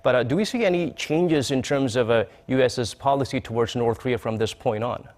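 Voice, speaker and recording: A man's voice: mid-range voice, fairly quick, a little mellow. A man conducting a broadcast news interview in a formal manner, with some hesitant phrasing.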